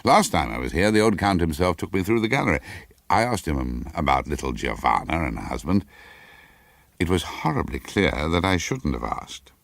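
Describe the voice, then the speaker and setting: Man playing a cultured, very deep-voiced English husband. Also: very animated, normal speed, creaky, a bit bright, no room sound, exaggerated voice. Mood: musing, confiding. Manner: light, urbane, casual lecturing. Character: cultured, well-bred English husband, pleased and knowing. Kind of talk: acted dialogue in a radio story reading.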